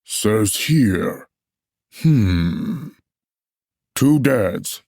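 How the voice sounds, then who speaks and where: Puppet-show bureaucrat, acted by a man: low male voice, stolid and unhurried, close dry recording. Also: expressive, darkish tone, no room sound, full character voice. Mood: matter-of-fact. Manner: matter-of-fact, bureaucratic. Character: stolid, dismissive male official collecting banned books. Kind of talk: theatrical puppet show dialogue.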